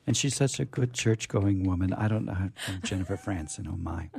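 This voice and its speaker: A man hosting a radio show, making effusive, halting, repetitive introductions and comments off the cuff. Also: low voice, gravelly, background noise.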